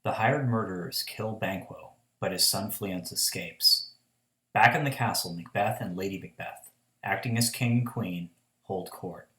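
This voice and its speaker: A man's voice: lowish voice, measured pace, a bit bright. A young man giving scripted narration for a radio play. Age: young adult. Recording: echoey room.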